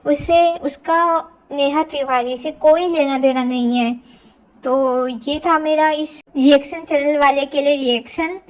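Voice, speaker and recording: A woman's voice: high-pitched, steady tone, unhurried, low-quality recording. A woman vlogger giving informal gossip commentary in an opinionated, insistent, questioning manner. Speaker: reaction vlogger.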